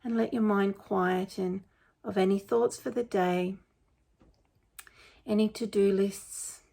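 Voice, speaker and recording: A woman leading a guided meditation in a gentle, unhurried, informal and soothing way. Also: medium pitch, steady tone, vocal fry. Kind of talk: guided meditation, spoken softly to listeners.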